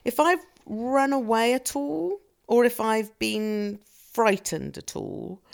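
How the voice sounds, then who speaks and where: A woman's voice: on the high side, lively intonation, takes it slowly, gravelly. A middle-aged woman in a thoughtful, searching conversation, often restarting her sentences. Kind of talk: reflective casual conversation.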